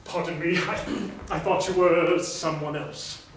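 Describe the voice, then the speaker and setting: A man's voice: a little high, fairly even intonation, a little slow, a little hiss or hum, lightly acted. A man playing a sly, grandiloquent old carnival doctor in a stage musical comedy, with flowery wording. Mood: flamboyant, charming. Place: live staged reading before audience. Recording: echoey stage, distant mic, faint music.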